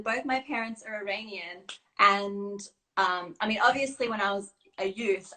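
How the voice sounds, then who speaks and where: Woman guest, chatty and modest, filler-heavy, middling pitch, live video call. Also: pushed and bright, poor audio. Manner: chatty, self-deprecating, many fillers. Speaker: guest, children's book creator. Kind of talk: casual live-stream interview. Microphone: phone or video call.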